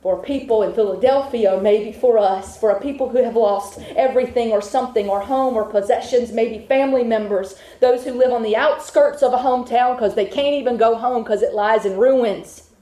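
A woman's voice: fairly high pitch, normal speed, hardly any pauses, dark tone. A woman preaching a sermon to a congregation, building her points with rhythmic repetition. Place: church with a congregation. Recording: echoey room.

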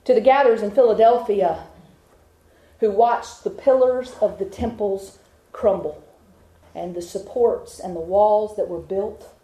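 A woman's voice: mid-range voice, warm tone. A woman preaching a sermon to a congregation, building her points with rhythmic repetition. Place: church with a congregation. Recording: echoey room.

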